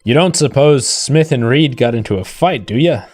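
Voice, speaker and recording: A man playing an anxious, excitable house seller in an amateur radio play, agitated and full of questions. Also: medium pitch, normal speed, close to an everyday voice. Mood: worried.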